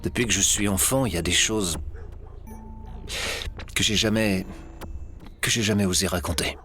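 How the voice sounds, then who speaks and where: A man's voice: sits low, bright, forceful tone. A man playing a serious, haunted young man who speaks hesitantly in an audio drama. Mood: pained confiding. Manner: hesitant, halting, repeating words. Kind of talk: French audio drama acting.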